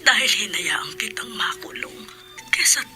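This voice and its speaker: A woman's voice: medium pitch, lively intonation, bright, forceful tone, in a whisper. An actress with a Filipino accent playing a loving, sorrowful middle-aged mother in a radio drama, pleading tearfully with her son. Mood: anguished, pleading. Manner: slow, tearful, breathy pleading. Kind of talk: Tagalog radio drama acting. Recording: music under the voice. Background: background music.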